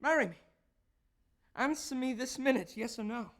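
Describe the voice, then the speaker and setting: A woman's voice: sits high, expressive, normal speed. A woman playing a playful, demanding young sweetheart, teasing and insistent, in theatrical stage style. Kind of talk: theatrical stage comedy acting.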